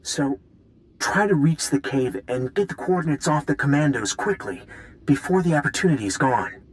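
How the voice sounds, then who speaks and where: A man's voice: fairly high pitch, animated, moderate pace, close to an everyday voice, rough recording. A man voicing a nervous, guilt-ridden weapons designer in a video game, hesitant and stammering as he apologises. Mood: nervous, earnest. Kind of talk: video game cutscene acting.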